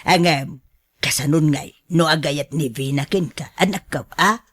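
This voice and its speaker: A woman's voice: deep voice, unhurried, fairly bright tone, slightly rough, full character voice. A woman acting a money-minded, indulgent middle-aged mother in a radio drama, chatty and conniving. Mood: greedy, coaxing.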